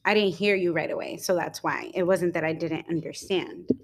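A young woman co-hosting a casual podcast, talking in a rambling way with many fillers and quick giggles. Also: fairly low pitch, fairly even intonation. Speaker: podcast co-host.

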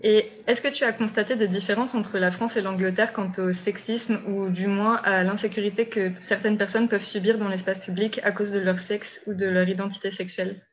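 A woman interviewing, asking careful, measured questions. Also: medium pitch, steady tone, dull audio, slight background noise.

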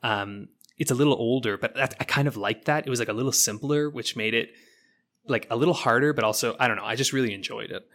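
A woman hosting a podcast in casual, informal and slightly halting conversation. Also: a bass voice. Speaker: podcast host. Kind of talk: casual chat.

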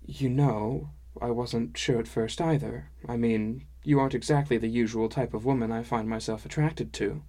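A man's voice: mid-range voice, steady tone, normal speed, few pauses, mellow, close to an everyday voice. A man voicing an awkward, smitten young man who speaks earnestly and hesitantly in an audiobook. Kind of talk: audiobook character dialogue.

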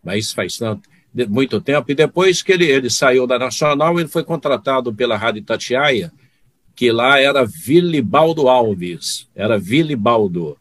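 A man's voice: mid-range voice, expressive, normal speed, dry recording, clean studio sound. A man who works as a sports announcer, telling anecdotes about fellow radio men in a conversational way, with some hesitant starts. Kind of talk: casual chat among broadcasters.

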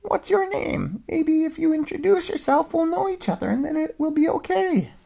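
A man voicing a timid, polite little male mouse in a bedtime story, with a high squeaky voice. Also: animated, moderate pace, gravelly, warm tone, heavily acted. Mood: pleading, polite. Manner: polite and eager.

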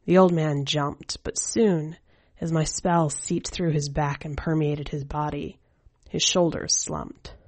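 Low-voiced woman reading a story slowly. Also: fairly even intonation, darkish tone, no room sound. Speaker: podcast editor and story reader. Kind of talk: scripted fiction narration.

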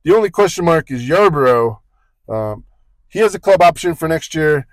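A man with a fairly high voice chats loosely on a sports podcast, circling back and correcting himself as he goes. The sound is close and dry, with no room behind it. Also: sing-song, normal speed, dry recording, poor audio. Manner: rambling, self-correcting, joking asides. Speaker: podcast co-host. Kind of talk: casual sports podcast chat.

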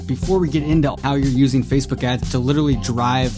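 A man hosting a marketing podcast, friendly and jokey, with quick asides to his guest. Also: medium pitch, steady tone, over music. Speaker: podcast host. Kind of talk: podcast interview.

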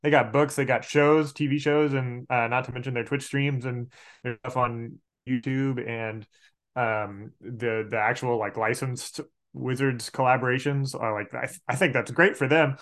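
A man's voice: mid-range voice, fairly even intonation, normal speed, fairly bright tone. An American man from Arkansas chatting casually as a podcast guest, explaining things and correcting himself as he goes. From Arkansas, United States. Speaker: podcast guest, game master and outdoor educator. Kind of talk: casual interview chat.

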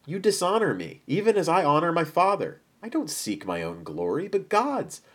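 Man playing an emphatic, solemn Jesus, lively pitch. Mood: bold, impassioned. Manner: emphatic, measured, solemn delivery. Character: Jesus, calm but bold, authoritative teacher in his thirties. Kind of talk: dramatized Bible storytelling.

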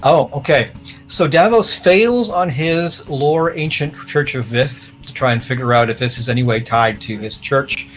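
A man runs a tabletop role-playing game as game master, mid-pitched and matter-of-fact. He improvises his narration and explains as he goes, with soft guitar music underneath. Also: measured pace, low-quality recording. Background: plucked guitar music.

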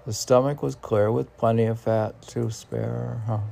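A man reading poems aloud in a rambling manner, with muttered musing asides and questions to himself, in a gravelly voice. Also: mid-range voice, flat delivery, a little slow, mellow. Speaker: poet reading own poems.

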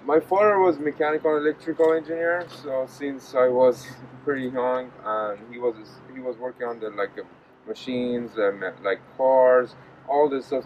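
A man's voice: mid-range voice, highs cut off, a little hiss or hum. Young man from Iran, hesitant phrasing. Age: young adult. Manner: non-native phrasing, hesitant. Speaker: graduating engineering student.

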